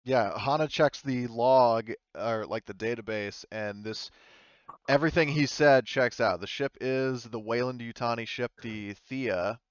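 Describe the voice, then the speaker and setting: A man's voice: mid-range voice, unhurried, clean tone, no echo, muffled recording. A man narrating a tabletop game as game master in a casual, hesitant, improvised way, explaining as he goes.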